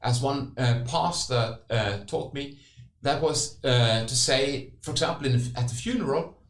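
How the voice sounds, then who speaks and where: A man from the west coast of Norway, co-hosting a conversational podcast and talking in halting phrases full of restarts. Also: medium pitch. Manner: halting, many restarts and repeated words. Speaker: podcast co-host. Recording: roomy echo.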